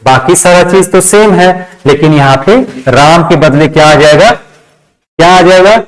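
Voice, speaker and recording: Man, Indian accent, fairly high pitch, classroom explanation, repetitive phrasing, rhetorical questions. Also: a little slow, poor audio. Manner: instructive, repeats key phrases, asks and answers own questions. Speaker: English grammar teacher. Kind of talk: classroom lesson, step-by-step explanation.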